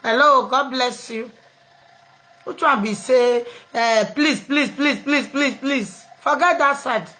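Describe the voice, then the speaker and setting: A Nigerian woman with a high, bright-edged voice hosts a live online show. She slides easily between pidgin and other tongues, chatting with callers and laughing often. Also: slow pace. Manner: mixes pidgin, English and local languages, laughing easily. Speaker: host of an online live program. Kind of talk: casual live chat with callers.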